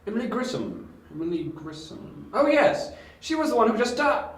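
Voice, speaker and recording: A man's voice: very high voice, wildly swooping pitch, normal speed, heavily acted. A man playing a scatterbrained, flustered, tactless doctor in an amateur audio drama. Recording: roomy, faintly noisy home recording.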